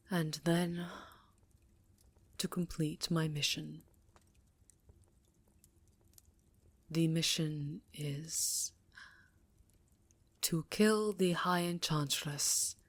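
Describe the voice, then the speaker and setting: Low, slow vampire countess, played by a woman. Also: no echo, full character voice. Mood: grave, confessional. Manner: measured, dramatic, breathy delivery dipping into whispers. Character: imperious, secretive vampire countess confessing to her lover. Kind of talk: intimate ASMR roleplay monologue.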